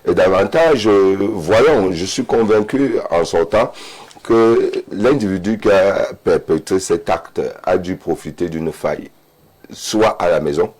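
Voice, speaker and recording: A man's voice: low-pitched, unhurried, darkish tone. A man, a guest speaking emphatically in a studio debate, often repeating words as he starts. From Cameroon. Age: 50s. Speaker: panel guest.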